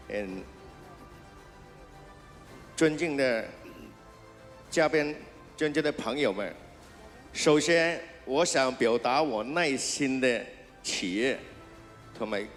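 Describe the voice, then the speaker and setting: A man's voice: medium pitch, long reverb tail. Hesitant man. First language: Cantonese. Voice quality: hesitant, many fillers. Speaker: award recipient. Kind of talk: halting acceptance speech.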